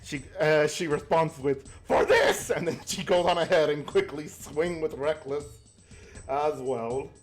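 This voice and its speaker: A man's voice: on the high side, steady tone, a little slow, soft background music. Playful, rambling male game player. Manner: playful, rambling, thinks aloud. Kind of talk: casual game chat.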